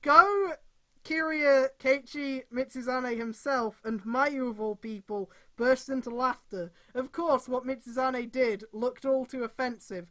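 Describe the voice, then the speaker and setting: A woman's voice: high-pitched, unhurried, fairly bright tone. A woman casually reading a story aloud on a stream, breaking off for irreverent, sweary commentary.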